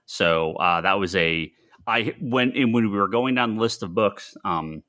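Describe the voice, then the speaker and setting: An American man co-hosting a casual discussion of industry news, often halting and repeating phrases. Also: on the low side, pushed and bright, no room sound, studio quality. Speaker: podcast co-host.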